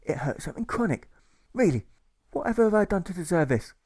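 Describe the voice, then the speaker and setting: A man's voice: high voice, very animated, fairly quick, darkish tone, breathy edge, dry recording, clean studio sound, voice pushed up, exaggerated voice. A man voicing a nervous, self-pitying engineer in an audiobook, fretful and plaintive. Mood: plaintive distress. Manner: plaintive rhetorical questioning, fretful.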